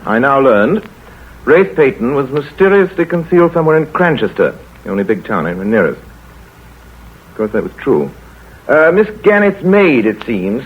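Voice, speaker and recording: An actor playing a dry, measured village doctor who narrates the story, in a 1940s radio play. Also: male voice, mid-range voice, slightly rough. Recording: tinny old radio broadcast.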